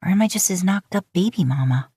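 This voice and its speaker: A woman's voice: fairly low pitch, animated, fast talker, warm tone, slightly rough, no echo. A woman playing a self-doubting, prickly young pregnant woman with a plaintive manner in audio fan fiction. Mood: sullen, hurt. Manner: defensive, plaintive.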